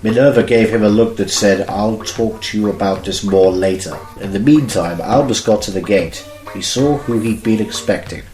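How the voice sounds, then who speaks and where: A man's voice: on the low side, moderate pace, hardly any pauses, mellow, faint music behind. A man reading scripted narration as the narrator of an amateur audio drama. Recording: roomy, echoing home recording.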